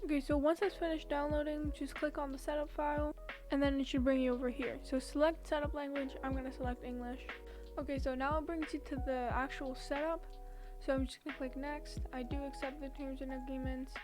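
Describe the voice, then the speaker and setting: A young woman with a high voice talks through a software tutorial in an informal, step-by-step way. Music plays behind her as she explains. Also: flat delivery, fairly quick. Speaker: tutorial host.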